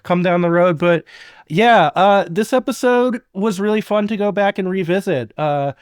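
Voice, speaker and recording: A man's voice: high voice, normal speed, clear, resonant tone, no room sound, studio quality. A man co-hosting a podcast, chatting casually about production work. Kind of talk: casual chat.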